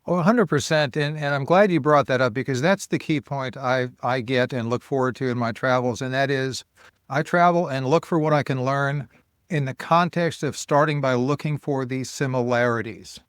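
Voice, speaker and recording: Smooth-voiced American podcast host. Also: male voice, medium pitch, moderate pace, no room sound, clean studio sound. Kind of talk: casual interview chat.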